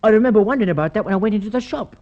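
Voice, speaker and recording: A man's voice: sits high, lively intonation, very fast, a little mellow, highs cut off. An actor playing a nervous, defensive working-class young man, in vintage radio drama style. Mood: earnest. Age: young adult. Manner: hesitant, ungrammatical, protesting. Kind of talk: Golden Age radio drama acting.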